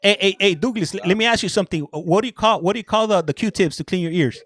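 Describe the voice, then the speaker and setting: Man, Mexican American accent, casual chat, video call, fairly high voice, dry close sound, repeats words, mixes in Spanish. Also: fast talker, fairly bright tone, no echo. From Southern California. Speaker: guest on a prison video call.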